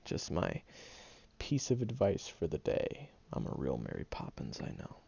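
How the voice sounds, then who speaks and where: Tsundere young guy voiced by a man: low, dark and gravelly, blunt and foul-mouthed with a soft heart, a bit groggy. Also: huge pitch swings, normal speed, no echo, dull audio, full character voice. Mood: earnest. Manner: blunt and profane, gentle underneath. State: groggy, just woken up. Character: gruff, sarcastic young man who secretly cares. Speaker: voice actor in an audio roleplay. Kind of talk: audio roleplay monologue to the listener.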